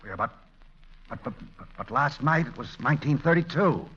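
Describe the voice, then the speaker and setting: An actor playing a smooth-talking, flirtatious con man in a radio romantic comedy, stammering then recovering with wisecracks. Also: on the high side, animated, normal speed, a bit bright. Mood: scheming, excited. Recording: dull, muffled vintage radio recording.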